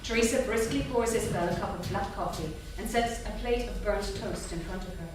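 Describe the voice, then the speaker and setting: A woman's voice: medium pitch, a little fast. Distant, echoey woman narrating a script. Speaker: stage-direction narrator. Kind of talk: scripted narration at a staged reading. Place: theatre stage. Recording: distant, very echoey hall, clear noise.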